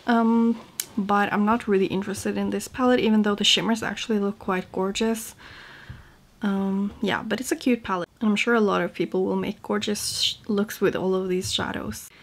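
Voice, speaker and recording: Young woman w norwegian accent, casual beauty vlog chat, mid pitched voice. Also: steady tone, moderate pace. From Norway. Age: young adult. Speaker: beauty vlogger. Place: small home room indoors.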